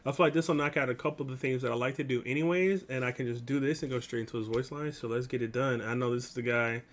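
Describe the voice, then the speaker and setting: A man's voice: medium pitch, quick pace. A man hosting a reaction video, commenting in a casual, slangy way. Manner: slangy, informal.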